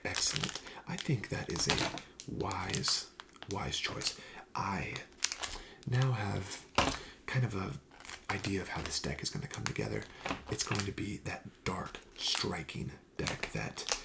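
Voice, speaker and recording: A soft-spoken ASMR host speaking gently, often near a whisper, in the polite, formal patter of a shop clerk. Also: sits low, creaky, heavy background noise.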